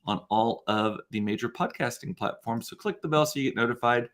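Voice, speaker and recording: Forties male host, stuffy and sniffly, loose chatty delivery, mid-pitched voice. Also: brisk, a bit bright. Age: 40s. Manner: chatty, rambling storyteller. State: congested, sniffly. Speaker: livestream host. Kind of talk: casual solo livestream chat. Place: room at home.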